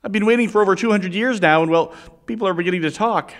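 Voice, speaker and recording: A man's voice: sits high, quick pace. A man playing a childlike, eager apprentice angel in a radio play, polite and easily flustered. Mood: earnest, polite.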